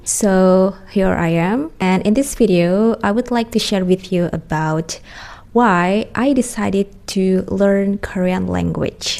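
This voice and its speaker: A woman's voice: mid-range voice, vocal fry, darkish tone. A young Indonesian woman with an Indonesian accent, speaking English to the camera in a careful, thoughtful way about learning languages, recorded in a small room. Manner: slow, careful, thoughtful with frequent pauses and restarts. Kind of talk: casual talk to camera.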